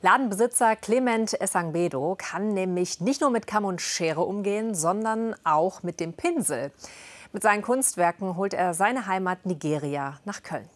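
A woman introducing a TV segment, clear and even, recorded close and dry with no room sound. Her voice sits in a middle register. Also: medium pitch, normal speed, dry recording. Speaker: presenter. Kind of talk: scripted TV introduction.

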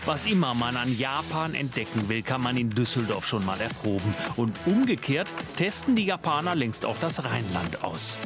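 Guy doing scripted tv report voiceover, average pitch, music bed pretty loud. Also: sing-song, moderate pace, hardly any pauses. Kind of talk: scripted narration.

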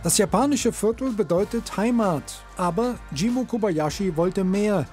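A male narrator delivers a polished documentary voice-over, steady and unhurried. His tone is warm and mellow, sitting a little high for a man. Soft music plays underneath. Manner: calm, measured voice-over delivery. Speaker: narrator of a TV report. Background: soft background music.

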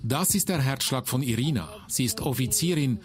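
A man reading a scripted news report in a measured, even way. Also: medium pitch. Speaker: reporter narrator.

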